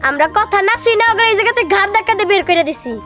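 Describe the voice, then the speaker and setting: Woman as a very high-pitched bossy girl. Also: lively intonation, rapid-fire, a bit bright. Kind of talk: cartoon voice acting. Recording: music behind the voice.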